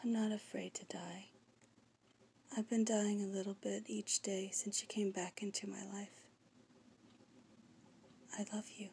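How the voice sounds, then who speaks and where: A woman voicing a devoted, heartbroken young noblewoman in film melodrama, with a breathy, emotional delivery. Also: mid-range voice, a little mellow, a little hiss or hum. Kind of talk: fan voice acting audition, film melodrama.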